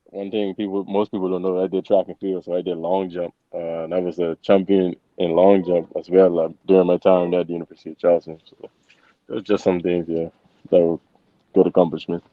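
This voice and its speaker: Low-pitched young man, slightly muffled. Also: flat delivery, fairly quick, dry recording. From Bahamas. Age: 20s. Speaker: interview guest, college football player. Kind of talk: casual interview answers. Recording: dull lo-fi close microphone.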